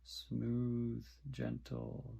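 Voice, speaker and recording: A man guiding a meditation in short, soothing phrases with long pauses between them. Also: medium pitch, completely flat delivery, gravelly, mellow, slightly rough. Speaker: meditation guide. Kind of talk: guided meditation instruction.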